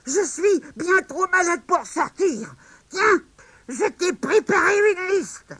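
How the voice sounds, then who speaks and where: A man's voice: very high-pitched, animated, slow pace, sharp tone, no echo, voice pushed up, exaggerated voice. A man voicing a sneezing old grandmother witch with a bad cold, talking in a nasal, sniffly way. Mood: scolding.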